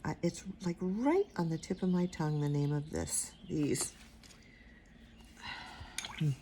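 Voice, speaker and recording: An older woman with a quiet, soft-spoken voice giving a casual painting tutorial, rambling and trailing off into mumbles as she works. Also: low voice, expressive, a little slow, slight background noise. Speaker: watercolor instructor.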